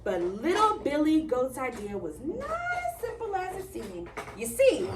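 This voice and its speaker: A woman reading aloud to young children in an expressive way, pausing to ask questions, recorded at a distance in an echoey room with faint noise. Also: high-pitched. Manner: expressive, prompting listeners to fill in words. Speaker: story reader leading young children.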